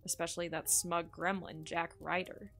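A woman's voice: fairly low pitch, level tone, moderate pace, creaky, fairly bright tone, a little hiss or hum. A woman playing a bold, ambitious reporter in an audio drama, speaking directly and persuasively with confidence. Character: bold, shrewd, ambitious female entertainment reporter.

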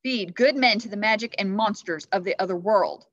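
A woman voicing a proud, angry king in a storybook reading, indignant and forceful. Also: mid-range voice, normal speed, dry recording, voice pushed up, natural voice. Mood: furious, defiant. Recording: muffled classroom webcam audio.

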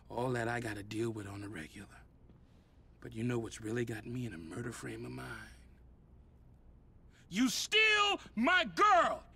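An actor playing a heavyset, menacing record mogul who threatens a rival in a game cutscene. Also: male voice, mid-range voice, sharp tone, slightly rough, heavily acted. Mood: enraged. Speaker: game villain.

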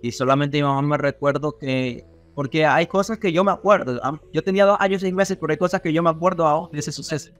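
Halting young Ecuadorian man over faint music. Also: fairly high pitch, a bit bright. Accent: Ecuadorian coastal Spanish. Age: young adult. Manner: halting, repeats words as he goes. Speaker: podcast guest, rapper. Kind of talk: casual interview storytelling. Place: small indoor studio room on a video call.

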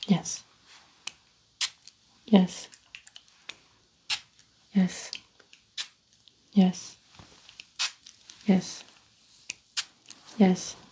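A woman playing the patient in an ASMR roleplay, giving quiet, one-word answers. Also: mid-range voice, flat delivery, very slow, pauses often, slight background noise. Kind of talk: ASMR roleplay, brief replies.

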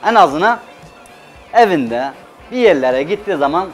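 Emphatic man chatting, highish voice over music. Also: expressive, moderate pace. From Adana, Turkey. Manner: emphatic, repeats words for stress. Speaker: chef and restaurant owner, guest. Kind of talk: casual interview talk. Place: TV studio kitchen set. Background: background music.

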